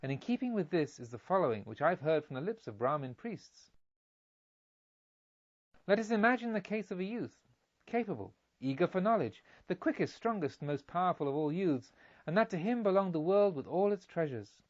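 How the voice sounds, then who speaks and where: Man as a gruff, insistent, high-swinging young pilgrim. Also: expressive, normal speed, muffled recording. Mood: earnest, rising. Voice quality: gruff, somewhat tremulous. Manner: insistent, argumentative. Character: young Indian merchant pilgrim, proud, displeased, arguing earnestly.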